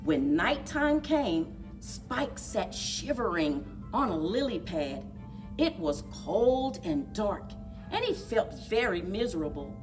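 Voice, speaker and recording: A woman reading a story aloud to young children at a library story time, in an expressive, deliberate manner. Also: medium pitch, recorded from a distance, over music. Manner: expressive, deliberate, sing-along encouragement.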